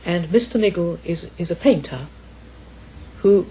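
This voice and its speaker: A woman's voice: fairly low pitch, expressive, unhurried, warm tone, breathy edge, low-quality recording. An older woman reminiscing in an interview, careful, articulate and formal. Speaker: author's daughter, interviewee. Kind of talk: reflective interview.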